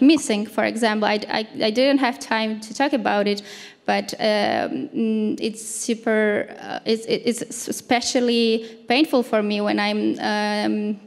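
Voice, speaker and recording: A woman in her thirties answering as a panel speaker, haltingly searching for words, on a handheld microphone in an auditorium. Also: mid-range voice, smooth. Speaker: panel speaker, activist anthropologist. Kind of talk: unscripted answer at a panel discussion. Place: large dark auditorium stage. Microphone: handheld microphone held close. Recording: reverberant hall sound.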